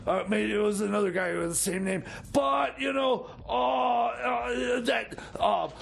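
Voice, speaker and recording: Man doing a high gravelly flustered crank. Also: unhurried, fairly bright tone, raised voice, slight background noise, heavily acted. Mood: agitated. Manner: flustered, stammering, repetitive, insistent. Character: excitable rambling paranoid male time machine mechanic. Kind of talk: improvised comedy radio theater.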